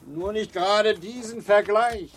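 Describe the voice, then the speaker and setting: Army major, forties, radio play: urbane, flirtatious, gallant, with insinuating charm. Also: male voice, high-pitched, a little slow, slight background noise. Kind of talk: German literary radio drama acting.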